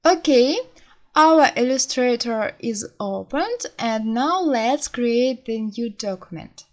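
Russian-accented woman, slow and high. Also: animated, roomy sound, distant mic. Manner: friendly, unhurried, simple English with small slips. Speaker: graphic design teacher. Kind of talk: beginner software tutorial. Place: small home office.

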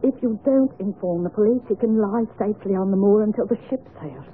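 A woman's voice: mid-range voice, brisk, natural voice. An actress playing an anxious woman in a radio mystery, speaking in an earnest, imploring way. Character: anxious, pleading woman of the moor. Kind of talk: 1970s radio mystery acting. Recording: tinny, noisy old radio broadcast.

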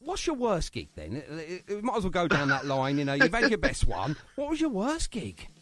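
Man, radio host, chatty, joking, animated pitch. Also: a little fast. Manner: chatty, jokey, rambling with many fillers. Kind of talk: casual radio interview. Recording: phone interview on online radio.

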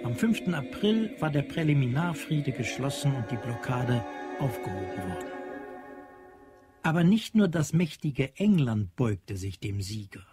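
A man narrating a radio play from a script in a measured, literary, dramatic manner. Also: medium pitch, big pitch swings. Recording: dry studio with faint music.

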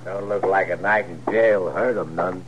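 An American actor playing a surly, jeering outlaw sidekick with a rustic drawl in a radio Western. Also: male voice, low-pitched, steady tone, normal speed, natural voice. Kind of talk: 1950s radio Western acting. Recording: muffled, very noisy old radio recording.

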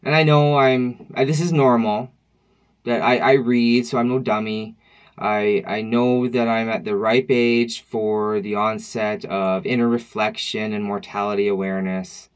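A man's voice: fairly low pitch, fairly even intonation, unhurried, smooth. A man in his thirties talking to camera at home in a personal vlog, reflective and wry, with loose conversational restarts. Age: 30s. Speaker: vlogger. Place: dim room at home, indoors.

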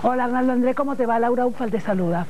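A woman's voice: a little high, rapid-fire, darkish tone. A middle-aged woman with a Rioplatense Argentine accent hosting a live tribute interview in a TV studio. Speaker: TV host. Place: TV studio with guests. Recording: noisy old TV broadcast recording.

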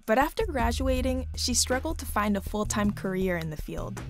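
A woman reporter reads a scripted feature narration with steady, measured delivery. Music plays clearly under her voice. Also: fairly high pitch. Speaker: reporter and host. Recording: voice-over with background music.

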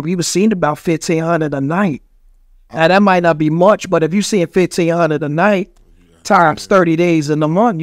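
A middle-aged man with a New York accent speaks in a high-pitched voice. He tells stories emphatically, peppered with slang, swearing and little check-in tags. The sound is dry and close, as in a padded podcast studio. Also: no room sound. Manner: emphatic, street slang, heavy swearing, frequent "you know" tags. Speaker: podcast guest. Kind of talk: casual storytelling in a podcast interview. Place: small studio with foam-padded wall. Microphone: studio microphone on boom arm.

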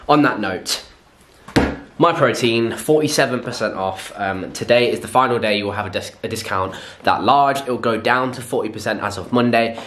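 A young man in his twenties, chatting casually and a little rambling to a handheld camera, first in a car and then at home. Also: medium pitch. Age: 20s. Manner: chatty, rambling, animated with hand gestures. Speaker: fitness vlogger. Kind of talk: casual vlog chat. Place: inside a parked car, then a home garage gym. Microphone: camera mic at arm's length. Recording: noisy car interior with traffic outside.

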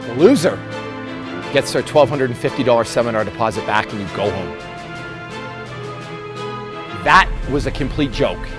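A man's voice: mid-range voice, expressive, normal speed, long pauses, music in the background. A middle-aged American man, a gym instructor, addressing a group in an emphatic, informal way. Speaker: gym instructor leading a challenge. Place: large gym hall with onlookers.

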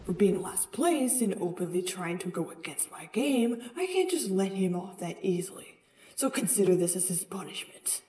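A woman's voice: fairly low pitch, sing-song, normal speed, warm tone, roomy sound. A woman playing a smug talking bottle who runs a deadly game, theatrical and menacing, in a cartoon comic dub. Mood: smug menace. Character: taunting talking bottle, sadistic game host. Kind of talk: animated comic dub acting.

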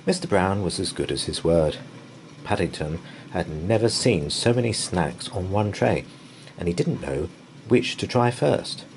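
A man reading a children's picture book aloud in an expressive storytelling style. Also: deep voice, measured pace, a little hiss or hum, rough recording.

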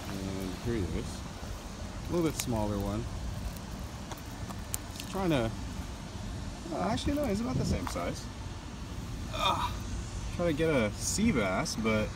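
Male fishing vlogger, casual and halting, mid-pitched, recorded outdoors over very loud background noise. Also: distant mic. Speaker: angler vlogger. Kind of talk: casual handheld fishing vlog. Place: outdoors at night on a waterside concrete edge. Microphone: handheld camera or phone, voice off camera.

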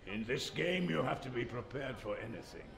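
An actor playing a stern, manipulative middle-aged spymaster father in a video game, commanding, measured and dismissive. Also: medium pitch, expressive, creaky, a bit bright. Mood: stern, defensive. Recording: roomy, faint background noise.

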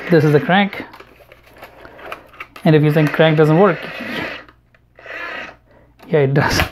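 A man narrating an unboxing and product review in a measured, matter-of-fact way. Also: a little high. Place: indoor room at home, at a table. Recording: home recording with clear background noise. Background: noise, handling clicks.

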